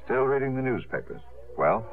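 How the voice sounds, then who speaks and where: An actor playing a suave, devoted theatre gentleman in a 1950s radio drama, heard on a tinny broadcast recording. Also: mid-range voice, big pitch swings, moderate pace, gravelly. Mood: wry, earnest.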